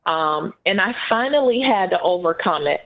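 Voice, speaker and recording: A woman with a Southern US accent, co-hosting a podcast in an earnest, encouraging, unhurried manner. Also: lowish voice, lively intonation. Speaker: podcast co-host. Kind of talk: casual podcast chat. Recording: phone-like narrow band.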